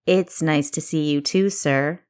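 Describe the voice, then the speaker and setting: An eager young female spy , acted by a woman narrator, talks with cheek and quick wit. It is character dialogue from an audiobook. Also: low voice, darkish tone, clean tone. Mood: cheeky.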